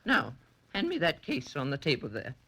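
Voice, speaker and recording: An actress playing a wealthy, commanding old grandmother with a husky, haughty delivery in a 1940s radio drama. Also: low-pitched, wildly swooping pitch, normal speed, bright, forceful tone, heavily acted. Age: older.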